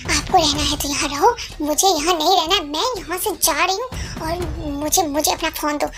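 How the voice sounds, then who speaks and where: A distraught young parent voiced by a woman speaks insistently in a very high voice with an Indian accent. Clear music sits behind this amateur drama. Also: moderate pace, close to an everyday voice.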